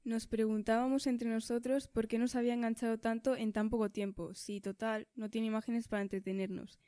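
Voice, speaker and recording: A woman's voice: a little high, level tone, fairly quick, mellow, dry recording, studio quality. A woman, a student interviewer delivering a prepared question.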